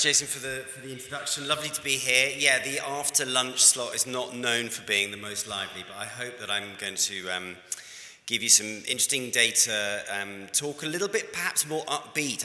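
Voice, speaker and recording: A man's voice: medium pitch, pushed and bright. A British man giving a conversational conference presentation, talking through slides with frequent fillers and restarts. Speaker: conference speaker. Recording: reverberant conference hall.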